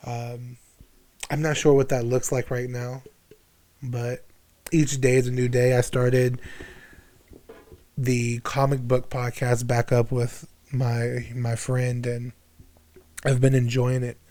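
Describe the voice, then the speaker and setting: A man's voice: mid-range voice, flat delivery, slow, long pauses, mellow. Slangy young man in candid conversation. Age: 20s. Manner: slangy, sprinkled with swearing. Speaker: podcast guest.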